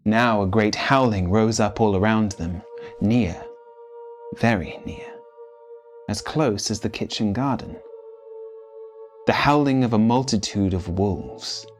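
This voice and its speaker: A man reading literary fiction as a storyteller, with measured, theatrical phrasing. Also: on the low side. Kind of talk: dramatic literary narration.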